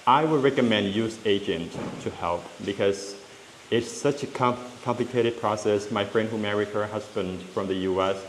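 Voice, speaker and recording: A Lao man in his thirties with a Lao accent, explaining things in a casual vlog with frequent fillers and restarts. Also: on the low side. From Laos. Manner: talks in halting stretches with many fillers and restarts. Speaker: vlogger. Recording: echoey room with long reverb and faint hiss.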